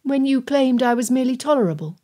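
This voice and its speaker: A spirited young gentlewoman of a period romance as a woman narrator reads her. Her voice is mellow and mid-pitched. She talks with formal poise and a candid, teasing turn. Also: fairly quick, lightly acted. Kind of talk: period romance audiobook dialogue.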